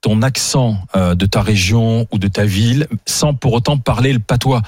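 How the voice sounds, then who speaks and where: A man's voice: on the low side, moderate pace, a little mellow, slightly rough, no room sound. Man hosting a radio talk show, dry sound. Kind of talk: animated panel discussion, conversational.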